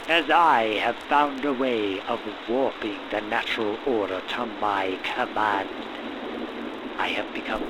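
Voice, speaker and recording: A man's voice: medium pitch, very noisy. A man playing a manic, grandiose mad scientist obsessed with creating life, declaiming theatrically in a gothic horror audio roleplay. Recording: loud rain and thunderstorm ambience behind. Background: thunderstorm and rain.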